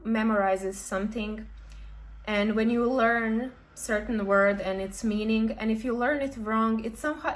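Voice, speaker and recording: Woman explaining languages. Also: on the high side. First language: Serbian. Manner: friendly, thoughtful, with frequent ums and self-corrections. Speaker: language vlogger. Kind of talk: explaining to camera.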